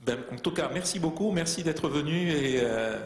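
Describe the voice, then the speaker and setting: A man's voice: on the high side, expressive, normal speed. A man moderating a conference panel, polite and hesitant with many fillers. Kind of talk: formal moderating. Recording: echoey conference hall.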